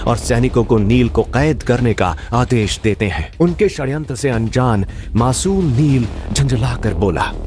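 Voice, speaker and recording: A man's voice: medium pitch, lively intonation, a little slow, few pauses. Guy narrating a dramatic fantasy story, mid voice, lots of swing in it, music behind. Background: background music.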